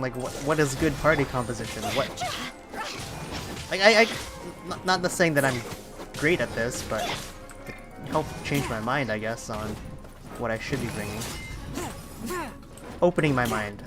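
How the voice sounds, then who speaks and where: A live streamer with a mid-pitched voice talks casually over clear game music. The delivery is halting, like someone thinking aloud while playing. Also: expressive. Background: video game music.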